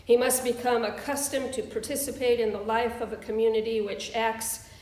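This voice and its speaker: Woman minister, fairly high voice, measured deliberate delivery, church reading and sermon, strong room echo. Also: fairly even intonation, darkish tone. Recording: roomy, echoing voice.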